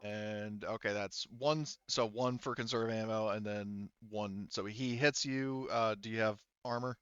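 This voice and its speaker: A man's voice: on the low side, steady tone, unhurried, a bit bright, clear, resonant tone, dull audio. A man acting as game master in a tabletop roleplaying session, calling rules and narrating action off the cuff in casual, sweary language. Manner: casual, profane.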